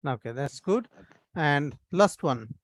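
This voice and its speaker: A man's voice: a little high, expressive, slow, no room sound. Patient male teacher on a video call. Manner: patient, correcting students' grammar. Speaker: English teacher. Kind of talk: online language class.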